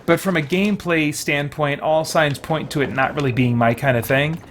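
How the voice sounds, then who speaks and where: A man live-streaming a game, talking casually and haltingly as he thinks aloud and reads out viewer comments. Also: a little high, steady tone, a bit bright, a little hiss or hum.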